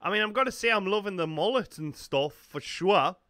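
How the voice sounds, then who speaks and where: A man's voice: high voice, lively intonation, brisk, over a noisy crowd, very noisy. A man in his thirties with a Geordie accent, giving casual off-the-cuff reaction commentary into a close microphone. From North East England. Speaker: reaction video host. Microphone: large close studio microphone.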